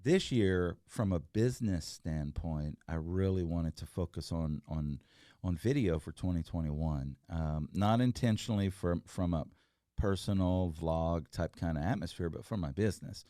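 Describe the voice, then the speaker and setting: Middle-aged man, very deep voice, dry sound, earnest rambling guest. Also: normal speed, mellow, dry recording. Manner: earnest, rambling, many fillers and restarts. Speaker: guest, entrepreneur. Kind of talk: casual interview chat.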